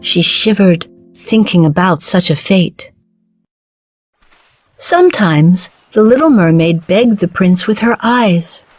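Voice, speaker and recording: A woman reading a children's storybook as narrator, with measured, clearly phrased delivery. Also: lowish voice, a little mellow, faint music behind.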